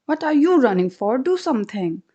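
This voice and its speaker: A woman voicing a sharp-tongued washerwoman who scolds and yells. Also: sing-song, moderate pace, slightly rough, muffled recording, exaggerated voice. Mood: demanding. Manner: shrill, scolding. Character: shrill scolding greedy washerwoman.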